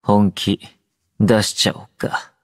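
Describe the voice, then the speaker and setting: Slow, drowsy young android soldier. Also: male voice, lowish voice, level tone, no room sound, clean studio sound. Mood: calm, languid. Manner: languid, understated, sleepy delivery. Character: drowsy, low-energy young male android soldier, aloof yet gentle. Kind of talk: Japanese anime game character voice lines.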